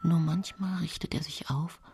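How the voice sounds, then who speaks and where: An actress playing a seemingly pious, gentle yet secretly cold 19th-century housewife, confiding her story in a measured radio drama monologue. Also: low voice, steady tone, slight background noise. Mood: plaintive. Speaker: actress narrating in a radio play. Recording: music bed behind studio voice.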